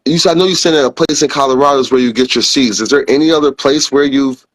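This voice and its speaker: A man hosting a podcast, chatting casually and excitably, jumping between questions. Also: medium pitch, fast, rough recording. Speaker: podcast host. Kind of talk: casual chat.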